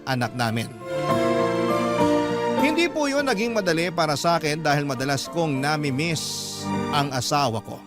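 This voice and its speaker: A Filipino man narrates a love story in radio style, reading a letter with dramatic delivery. His voice sits a bit high for a man, and music plays loudly behind him. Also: very animated, moderate pace, pauses often. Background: loud background music.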